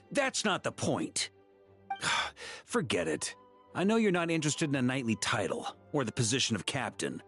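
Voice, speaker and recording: Animated, exasperated knight commander. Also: male voice, mid-range voice, moderate pace, soft background music. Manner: sighing, paternal, authoritative. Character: exasperated, good-natured veteran knight commander, man. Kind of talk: game voice acting.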